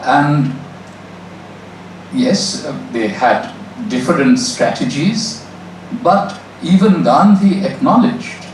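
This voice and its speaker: A male professor giving a measured, emphatic academic answer to an audience in a lecture room. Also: a little high. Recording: echoey hall, distant mic, faint noise.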